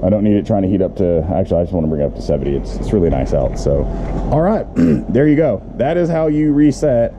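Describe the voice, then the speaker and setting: A man's voice: fairly low pitch, big pitch swings, quick pace. A middle-aged man giving a casual, rambling how-to walk-through, thinking aloud into a handheld camera. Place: outdoors beside a camper, later inside it. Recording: muffled handheld camera audio with clear background noise.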